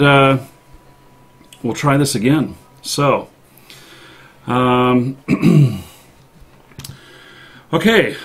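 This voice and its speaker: Male live-stream host. Casual, halting delivery, thinking aloud, middle-pitched everyday voice.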